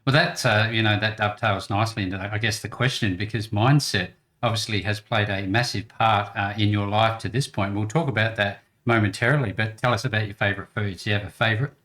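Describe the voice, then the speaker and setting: A man's voice: lowish voice, steady tone, fairly bright tone. A man hosting an interview show in a casual, chatty, conversational way. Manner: chatty, quick to laugh.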